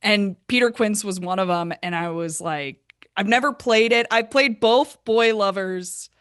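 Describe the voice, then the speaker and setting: A woman's voice: medium pitch, measured pace, a bit bright, clean tone, no room sound, studio quality. A woman interviewed as an actor and theatre producer, telling stories casually and acting out remarks as quotes.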